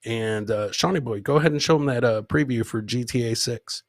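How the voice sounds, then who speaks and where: A man's voice: medium pitch, brisk, slight background noise. A man hosting a live comedy podcast, bantering casually and rambling, with swearing and showman-like addresses to his audience. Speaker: podcast host.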